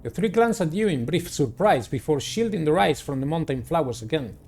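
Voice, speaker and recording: Expressive man narrating, lively swooping pitch. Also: a little fast, slight background noise. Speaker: game player reading aloud. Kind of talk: dramatic narration of a visual novel.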